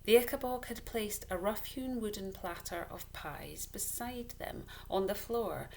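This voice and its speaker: A woman reading a children's story aloud, deliberately and with dramatic pauses. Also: medium pitch, gravelly, a little mellow, slight background noise. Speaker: story reader.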